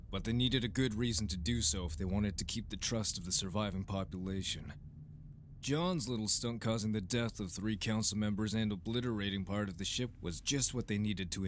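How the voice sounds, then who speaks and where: A man voicing a gruff, weary rebel leader who gives stern orders in an audio drama. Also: fairly low pitch, a little hiss or hum, close to an everyday voice. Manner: stern, impatient commands.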